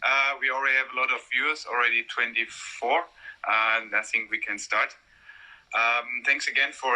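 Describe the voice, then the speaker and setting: A man's voice: medium pitch, sharp tone, poor audio. A man hosting a live-stream talk with an art collector, speaking hesitantly with frequent fillers over a video call. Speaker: host of collector talks.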